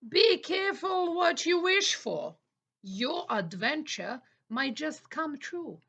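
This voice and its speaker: Very high, creaky, fussy teddy bear. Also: female voice, big pitch swings, slow, a bit bright, highs cut off, full character voice. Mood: knowing, scolding. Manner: fussy, scolding, exclamatory. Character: fussy, cautious talking teddy bear, easily offended. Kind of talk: children's audio play acting.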